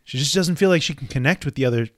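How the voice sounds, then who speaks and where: A man's voice: a little high, very fast, no room sound. Male podcast co-host, casual comic recap, narrates panels, dry close sound. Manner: narrates the panels and reads dialogue aloud.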